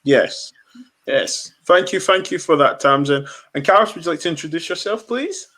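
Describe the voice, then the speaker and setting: A man's voice: fairly high pitch, expressive, normal speed, fairly bright tone. A man hosting a podcast, interviewing guests in a direct way with lots of fillers and repeated words. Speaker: podcast host.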